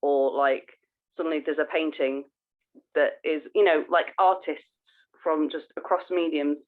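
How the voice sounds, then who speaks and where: A woman co-hosting a casual podcast, halting and earnest as she thinks aloud. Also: sits low. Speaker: podcast co-host. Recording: tinny, phone-like narrow band.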